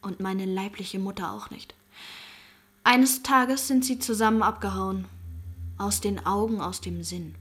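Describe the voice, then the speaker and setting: A stubborn young woman in a radio crime play, husky and breathy at a medium pitch. She speaks in clipped, defiant statements with rigid moral conviction. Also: measured pace. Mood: rigid, resentful. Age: young adult. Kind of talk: German radio crime drama acting.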